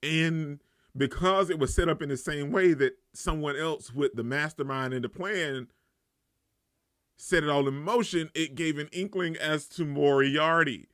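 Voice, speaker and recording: A man giving a casual, excitable reaction and review as a channel host, often repeating himself for emphasis. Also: a little high, unhurried, dry recording, studio quality.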